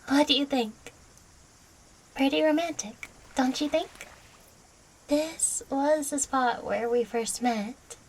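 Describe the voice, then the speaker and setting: A woman voicing a playful, affectionate young catgirl girlfriend in an audio roleplay, teasing and coy, speaking closely to the listener with a breathy voice. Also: high voice, slight background noise, low-quality recording. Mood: excited, affectionate.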